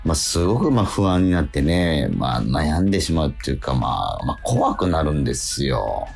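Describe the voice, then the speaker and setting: Low-voiced middle-aged man, swooping intonation. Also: big pitch swings, vocal fry, darkish tone. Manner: hesitant, halting, self-doubting. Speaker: radio host and stage actor. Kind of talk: casual radio chat.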